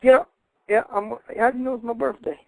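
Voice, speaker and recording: A man's voice: very high-pitched, fairly even intonation, a little fast, creaky, no room sound, low-quality recording. A man in his fifties calling in to a radio show, rambling in a meandering way with nonstandard grammar. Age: 50s. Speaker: caller. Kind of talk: rambling radio call-in chat.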